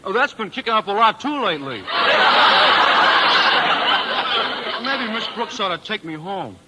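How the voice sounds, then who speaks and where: An actor playing a dim, hesitant teenage schoolboy in an old radio comedy. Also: male voice, high-pitched, big pitch swings, heavy background noise. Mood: earnest. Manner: hesitant, dopey drawn-out delivery. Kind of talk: 1940s-50s radio comedy acting. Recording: old radio show recording.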